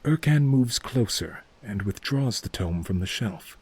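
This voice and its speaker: A man's voice: lowish voice, lively intonation, a little slow, a little mellow, slightly rough. A man reading scripted narration for a fantasy story as its narrator.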